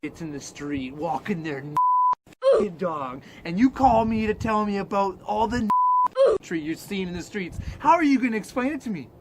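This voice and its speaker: A man's voice: high-pitched, lively intonation, normal speed, very noisy. A man trading crude, profane jokes and mock news as a comedy co-host. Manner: profane, irreverent.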